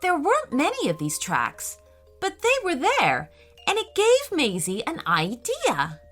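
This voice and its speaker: Animated, bright-voiced woman children's storyteller. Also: high voice, unhurried, soft background music. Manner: careful, dramatic storytelling. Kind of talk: expressive scripted bedtime story narration.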